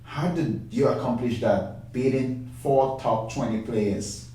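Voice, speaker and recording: Male talk show host, mid-pitched and effusive, heavy room echo, distant mic. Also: slow pace, mellow. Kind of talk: interview.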